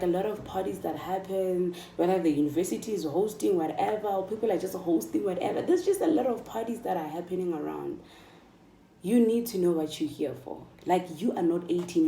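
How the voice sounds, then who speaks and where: A woman's voice: on the low side, brisk, mellow, a little hiss or hum. A South African woman in her mid-twenties talking casually and emphatically to viewers, encouraging them and repeating phrases for stress. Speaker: vlogger. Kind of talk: casual motivational chat to viewers. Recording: echoey room, distant microphone.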